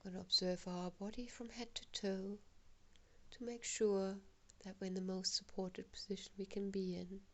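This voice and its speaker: A woman guiding a relaxation meditation in a soft, breathy, half-whispered voice, giving gentle, hushed instructions with long pauses. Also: medium pitch, flat delivery.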